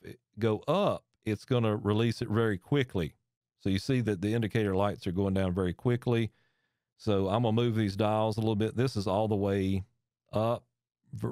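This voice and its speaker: A man in his thirties with a lowish voice walks through audio gear settings in a relaxed, chatty way, breaking off and restarting phrases as he turns knobs. He speaks into a close studio microphone at a home desk, and the sound is dry and up front. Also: dry recording. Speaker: audio gear tutorial host. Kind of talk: casual hands-on demonstration. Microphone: studio mic on arm close to face.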